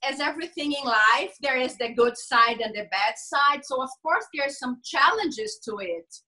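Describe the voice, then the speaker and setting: Woman, Brazilian Portuguese accent, middle-aged, measured interview talk, bright tone. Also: high voice, animated. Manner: slow, careful, with frequent pauses and fillers. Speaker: lawyer and coach, guest. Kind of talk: interview over video call.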